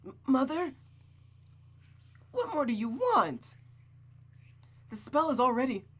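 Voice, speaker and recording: A man's voice: very high voice, very animated, slow, fairly bright tone, voice pushed up, full character voice. A man voicing a timid, obedient young girl in a visual novel, meek and hesitant. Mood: frightened, weak. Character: timid, obedient young daughter of strict mother.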